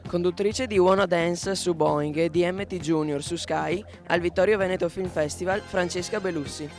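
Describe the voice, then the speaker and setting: A man's voice: sits high, fairly even intonation, normal speed. A man reporting for a newsreel, reading scripted questions and introductions. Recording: faint music behind the voice.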